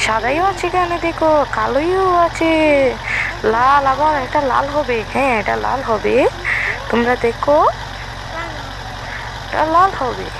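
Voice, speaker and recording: A woman's voice: high voice, measured pace, creaky, long pauses, noisy background. A woman giving casual game commentary for small children, in a childlike, repetitive, exclaiming patter. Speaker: gameplay video host.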